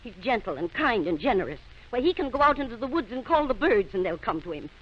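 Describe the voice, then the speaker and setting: Proud bitter wife, dramatic swooping delivery. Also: female voice, on the high side, sing-song, quick pace. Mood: impassioned. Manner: dramatic, scornful. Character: proud, defensive, bitter small-town wife. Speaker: actress in radio play. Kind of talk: old-time radio drama acting. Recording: muffled old radio recording.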